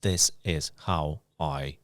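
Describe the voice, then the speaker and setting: A man's voice: rumbling low, slow, dry recording, clean studio sound. A man hosting a podcast, easygoing and conversational as he draws out his guest. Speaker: podcast host. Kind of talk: casual podcast interview.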